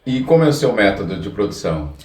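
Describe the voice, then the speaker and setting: A man's voice: on the low side, big pitch swings, fast, darkish tone. A man with a Brazilian accent hosting a casual interview with short, agreeable questions and prompts. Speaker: interviewer, podcast host. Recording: echoey, distant from microphone.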